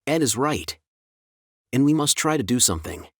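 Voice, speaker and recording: A decisive eldest brother and leader, played by a man in a storybook radio play. He urges the others on with a very lively, swinging pitch in a dry recording. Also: normal speed, no room sound. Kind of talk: audio drama character reading.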